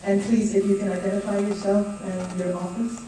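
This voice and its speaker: A woman politely moderating a formal question session in a measured way. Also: medium pitch, little pitch movement. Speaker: moderator of a briefing. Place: meeting room without microphones for speakers. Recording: echoey room, distant microphone, faint noise.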